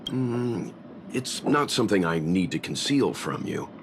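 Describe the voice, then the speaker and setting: A man voicing a calm, thoughtful gentleman mentor in a video game, speaking in a measured, courteous and serious way. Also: on the low side, noisy background. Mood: grave, explanatory. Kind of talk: video game English dub acting.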